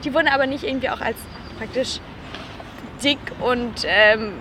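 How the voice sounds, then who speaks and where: Young woman, casual student chat, high pitch, noisy outdoor recording. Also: normal speed. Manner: lively, lots of fillers and restarts.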